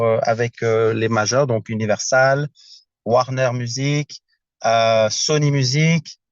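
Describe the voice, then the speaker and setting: Halting man on a dull, dry video call. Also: medium pitch, dry recording. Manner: halting, searching for words in a second language. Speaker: founder presenting a project. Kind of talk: casual video-call presentation. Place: inside a car.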